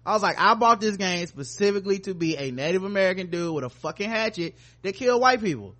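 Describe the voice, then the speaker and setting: A man's voice: sits high, lively intonation, fast talker, bright, forceful tone. A man hosting a podcast in casual, joking banter with informal, profane language. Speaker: podcast host.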